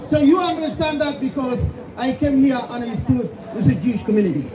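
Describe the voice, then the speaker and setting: High-voiced Sudanese man preaching at a rally. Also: lively intonation, a little fast. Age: 30s. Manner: fervent, hammering rhetorical questions, at times shouting. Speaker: pastor speaking at a rally. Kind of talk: impassioned rally speech. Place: outdoor rally. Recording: distant, echoey outdoor sound with loud background noise.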